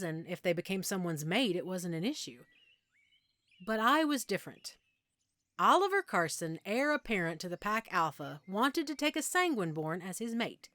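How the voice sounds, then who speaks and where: A woman acts out a middle-aged female werewolf alpha, patient and sure of herself. Her mid-pitched voice explains things in an intimate, conversational audio roleplay style, leaving measured pauses. The sound is dry, with very little room in it. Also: sharp tone, no room sound. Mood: candid, proud.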